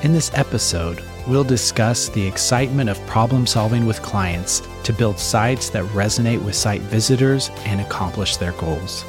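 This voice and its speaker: A man hosting a podcast, reading a measured scripted intro and then asking interview questions. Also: lowish voice. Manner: measured, smooth presenter delivery. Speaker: podcast host. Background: music under the intro.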